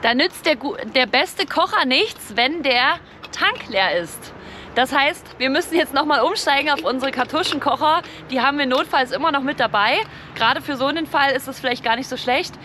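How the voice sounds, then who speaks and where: A woman's voice: high voice, expressive, normal speed, bright, forceful tone. A woman narrating a casual camping vlog in a chatty, informal way, explaining as she goes. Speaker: camping vlogger. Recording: clearly noisy, outdoor and vehicle ambience.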